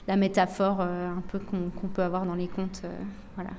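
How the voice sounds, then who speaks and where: A young woman, an illustrator, answering interview questions with many pauses, fillers and restarts. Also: on the low side, monotone, a little mellow, slight background noise. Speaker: illustrator and author of books. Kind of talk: radio interview, spontaneous answers.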